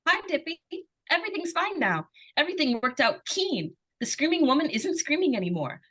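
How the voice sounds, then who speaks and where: A woman playing an earnest, excitable young daughter in an old-time radio suspense drama. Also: high-pitched, fairly quick. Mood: cheerful, excited.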